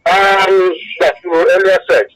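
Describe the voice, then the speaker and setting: A man's voice: sits high, fairly even intonation, takes it slowly, a bit bright. A Nigerian-accented man calling a radio phone-in, giving impassioned opinions emphatically and repeating his phrases over a phone line. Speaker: phone-in caller, broadcast journalist.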